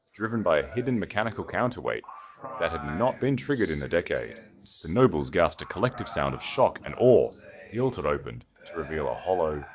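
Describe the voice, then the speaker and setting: Very deep-voiced male storyteller. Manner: solemn, dramatic, deliberate emphasis. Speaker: storyteller, narrator. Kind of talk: dramatic scripted audiobook narration.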